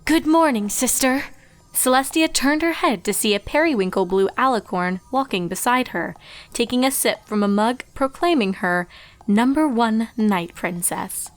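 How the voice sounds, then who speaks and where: A woman's voice: a little high, lively intonation, moderate pace, rough recording. A woman reading a story aloud as narrator, in scripted storytelling style. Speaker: fan fiction reader and narrator.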